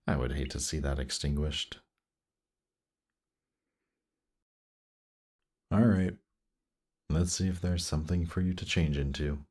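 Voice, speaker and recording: A man playing a seductive demon prince in an intimate roleplay audio drama, teasing and coaxing in an unhurried way. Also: extremely low pitch, darkish tone.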